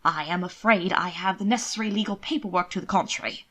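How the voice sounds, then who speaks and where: A woman's voice: fairly low pitch, fast, sharp tone. A woman voicing a duke's male envoy in a period audiobook, proud yet careful, patient and politely insistent. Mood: careful politeness.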